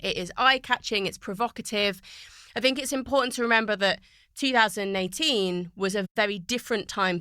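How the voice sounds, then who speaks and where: A woman talks on a podcast in a recording studio, and her voice is bright and forceful with a smooth, resonant clarity. she tells her story fluently and reflectively. the sound is dry and close, with no room echo. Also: medium pitch. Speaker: podcast guest, poet and TV writer. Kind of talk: casual podcast conversation.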